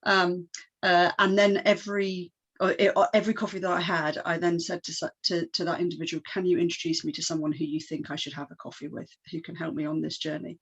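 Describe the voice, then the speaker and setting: A woman's voice: on the low side, level tone, fairly quick, breathy edge, highs cut off. A woman, a company founder, talking chattily on an online panel, with many restarts and fillers. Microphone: video call.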